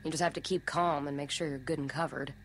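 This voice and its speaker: Deep-voiced, calm, detached survivor. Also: female voice, quick pace, slight background noise. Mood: calm, assured. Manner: calm, matter-of-fact. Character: calm, detached adult female survivor of walker herds. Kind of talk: dramatic video game acting.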